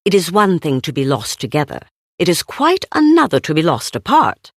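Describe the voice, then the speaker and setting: A woman's voice: lowish voice, wildly swooping pitch, normal speed, dry recording, studio quality. A woman narrating a children's story, reading expressively from a script as a storybook narrator.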